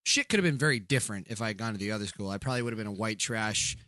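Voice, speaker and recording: A man co-hosting a podcast in casual banter, joking fast and slipping into mock voices for his bits. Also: on the low side, bright, forceful tone, no echo, studio quality. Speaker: podcast co-host.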